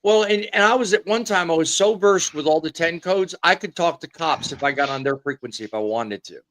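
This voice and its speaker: A man hosting a live casual panel chat, a former truck driver telling stories with animated delivery. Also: fairly high pitch, fairly quick, a little hiss or hum.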